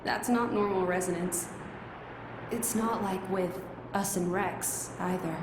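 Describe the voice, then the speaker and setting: A woman voicing a calm, brooding young woman in a video game, subdued and measured, with an echoing sound. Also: medium pitch, background noise, lightly acted. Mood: subdued, serious. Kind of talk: video game cutscene acting. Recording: echoing, long reverb.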